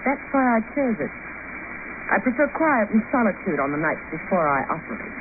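Actress as aloof female doctor, formal and guarded, expressive intonation, noisy 1950 radio serial. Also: mid-range voice, brisk, natural voice. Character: cool, aloof woman surgeon, guarded and self-possessed. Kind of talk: 1950 radio drama acting. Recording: hissy, tinny old radio broadcast recording.

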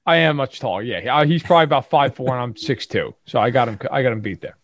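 A man's voice: medium pitch, quick pace, fairly bright tone. A man with a Brooklyn accent co-hosting a podcast, blunt and emphatic in casual chat. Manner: blunt, emphatic, self-mocking. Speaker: podcast co-host.

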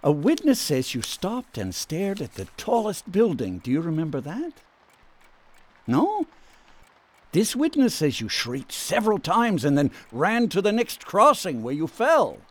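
An actor in a radio drama playing a patient, gently persistent psychiatrist questioning someone. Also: male voice, high-pitched, huge pitch swings, a little slow, full character voice. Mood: probing, persistent.

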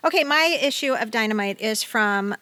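A woman's voice: a little high, animated, moderate pace, bright, forceful tone, dry recording. A middle-aged American woman co-hosting a casual podcast, chatty and wry as she comments and reads bits aloud. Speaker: podcast co-host. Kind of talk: casual chat with read-aloud magazine excerpts.

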